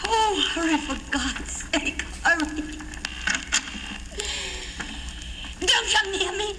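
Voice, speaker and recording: A woman's voice: very high voice, lively intonation, a little slow. Panicky pleading lady in an old radio thriller, breathless, big echo, lots of noise on the recording. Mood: screaming terror. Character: terrified, desperate bedridden woman pleading on the telephone. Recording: noisy old radio recording, long reverb.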